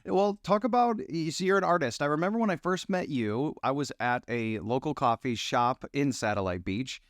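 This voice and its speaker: A man's voice: on the high side, normal speed, dry recording. Easygoing male podcast host, dry sound. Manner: friendly, easygoing questioner. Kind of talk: casual interview chat.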